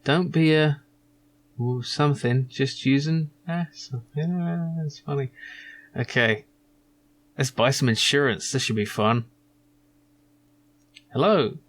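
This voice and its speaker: A man with a husky voice hosting a casual video game playthrough, rambling through his asides. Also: mid-range voice, slow pace, long pauses. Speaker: gaming video host. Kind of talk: casual playthrough commentary, reading game dialogue aloud.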